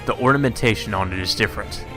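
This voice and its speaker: Man, low pitch, dramatic story narration, read-aloud, music behind. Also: quick pace. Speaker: let's play host reading visual novel.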